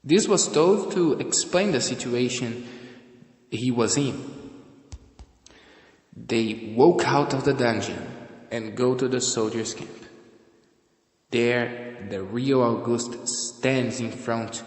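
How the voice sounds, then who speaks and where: A man narrating an amateur audio drama in a halting, deliberate way. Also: mid-range voice. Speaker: narrator and reader of all parts. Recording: echoey room with long reverb.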